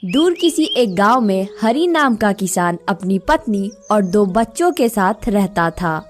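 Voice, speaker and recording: A woman's voice: medium pitch, big pitch swings, measured pace, soft background music. A woman narrating a scripted moral story for children.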